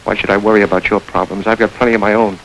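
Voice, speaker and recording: An actor playing Gene, a world-weary middle-aged crook, in a 1940s radio drama, clipped and sardonic. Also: male voice, fairly low pitch, little pitch movement, fairly bright tone, rough recording. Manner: clipped, sardonic, quick delivery. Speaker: Gene, a criminal facing the chair. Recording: hissy old radio recording.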